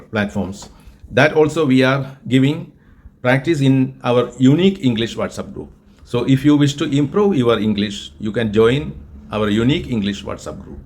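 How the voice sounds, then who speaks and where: A man from Kerala, India, with a Malayalam-influenced Indian accent, teaching English in an earnest, deliberate way and often repeating himself. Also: mid-range voice, a little mellow, a little hiss or hum. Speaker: English tutor and group organizer. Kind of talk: spoken English lesson with an AI chatbot.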